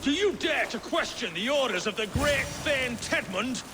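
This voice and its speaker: Game role: cowardly, self-important thane, very high, wide-swinging voice under loud music. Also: male voice, expressive, normal speed. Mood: blustering, bossy. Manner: blustering, shrill, melodramatic. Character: pompous, cowardly male thane who bullies then panics. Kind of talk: video game character acting.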